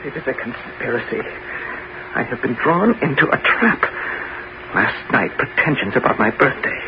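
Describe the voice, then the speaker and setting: A man's voice: medium pitch, sing-song, moderate pace, lightly acted. An actor playing an outraged, desperate young king of France, haughty and commanding, in a 1970s radio drama. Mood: brooding suspicion. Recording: noisy, dull 1970s radio broadcast.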